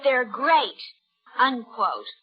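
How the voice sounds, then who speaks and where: Angry sarcastic wife, high-pitched, slightly rough, old radio comedy, dull lo-fi sound. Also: female voice, sing-song, very slow, exaggerated voice. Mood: sullen, accusing. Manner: sarcastic, snappish. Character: angry, jealous young wife Daphne in a comic sketch. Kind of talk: 1950s radio comedy acting. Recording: muffled old radio broadcast, faint music.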